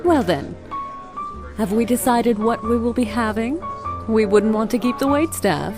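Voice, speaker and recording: A woman's voice: fairly high pitch, unhurried, lightly acted. A woman playing a gracious, well-bred hostess in a radio play, polite and composed. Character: gracious, well-bred woman company owner hosting dinner. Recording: music bed under dialogue. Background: music.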